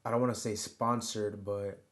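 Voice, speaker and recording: Low, flat-voiced rambling man. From United States. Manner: halting, filler-heavy, keeps adding "you know" and "right?". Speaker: vlogger. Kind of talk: rambling personal monologue.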